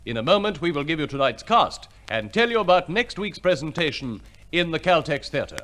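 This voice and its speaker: A man's voice: fairly high pitch, sing-song, a little fast, fairly bright tone. A man reading scripted announcements as a formal, polished 1950s radio compere, on an old recording.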